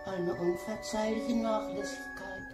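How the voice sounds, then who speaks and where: A woman's voice: medium pitch, fairly even intonation, a little slow, natural voice. An actress playing a stern, haughty lady of the house in a mystery radio play, with formal, clipped delivery. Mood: stern, reproachful. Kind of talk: German radio play acting. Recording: roomy sound with music behind.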